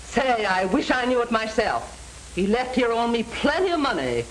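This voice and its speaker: A woman's voice: a little high, very animated, normal speed, slight background noise, low-quality recording. An actress playing a blunt, aggrieved older landlady in a 1930s film.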